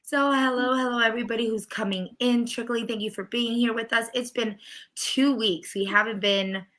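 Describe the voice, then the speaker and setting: A woman with a raspy, hoarse voice that has nearly given out, chatting casually as co-host of a livestreamed TV recap show. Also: on the high side, steady tone, normal speed, fairly bright tone. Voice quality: raspy, strained voice. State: hoarse from losing her voice.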